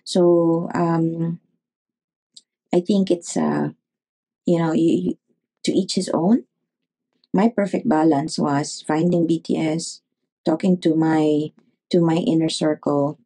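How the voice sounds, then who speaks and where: A woman, a neurologist guest, giving advice in an interview, speaking in a measured way with many pauses and fillers. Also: low voice, vocal fry, mellow, husky, poor audio.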